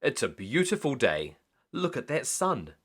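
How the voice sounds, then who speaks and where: A man's voice: medium pitch, huge pitch swings, unhurried, husky, heavily acted. A man voicing a stubborn, blustery older male lighthouse keeper in a storybook, exclaiming and grumbling. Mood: cheerful.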